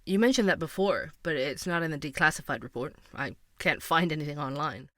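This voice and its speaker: A man's voice: a little high, a little fast, pushed and bright, dry recording, clean studio sound. An actor playing a curious male investigative podcaster, who narrates and probes with questions. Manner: inquisitive, probing.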